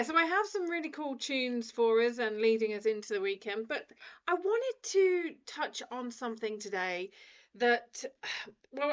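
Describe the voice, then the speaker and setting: A woman's voice: on the high side, dull audio. Chatty, ranting woman radio host. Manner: animated, ranting, laughs often. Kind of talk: casual chatty radio talk. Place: radio studio.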